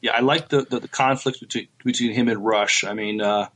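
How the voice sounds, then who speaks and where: A man's voice: lowish voice, steady tone, brisk, fairly bright tone. A man in a casual podcast discussion, talking in a hesitant, thoughtful way as he gives his opinions. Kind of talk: casual TV review chat.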